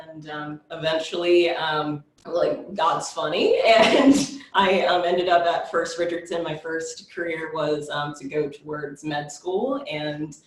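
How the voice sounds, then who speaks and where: A young adult from South Carolina introducing themselves on a church panel, reflective and full of ums. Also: mid-range voice. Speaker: church welcoming director. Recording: echoey room, distant mic.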